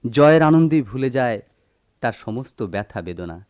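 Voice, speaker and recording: An actor playing a suave, flowery suitor, a company manager, with poetic theatrical delivery in a radio drama. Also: male voice, mid-range voice, animated, normal speed, a little mellow, clear, resonant tone, no echo, close to an everyday voice. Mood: romantic yearning. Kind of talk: melodramatic radio drama acting.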